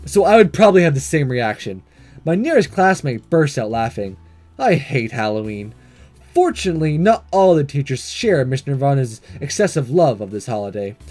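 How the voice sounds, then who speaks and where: Animated man narrating a game over music. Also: mid-range voice. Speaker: gaming video host reading a visual novel aloud. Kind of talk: animated read-aloud narration with casual commentary.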